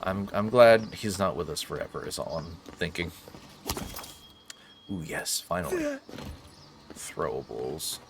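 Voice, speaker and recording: Animated, slightly low-voiced male gamer. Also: unhurried, pauses often, background noise. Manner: expressive, jokey, slangy. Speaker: gaming host doing a playthrough. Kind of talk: casual let's play commentary, partly voicing dialogue. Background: faint game ambience.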